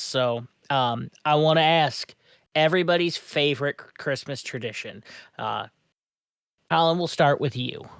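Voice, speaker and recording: A man's voice: medium pitch, clean tone, dry recording. Chill young guy hosting a podcast, calls everyone bud, dry sound. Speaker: podcast host. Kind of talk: casual chat.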